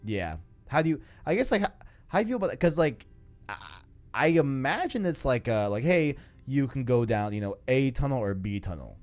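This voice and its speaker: A man's voice: medium pitch, big pitch swings, brisk, a little mellow, soft background music. A man hosting a video game discussion show, chatting casually in a rambling way full of verbal tags like "you know". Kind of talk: casual chat.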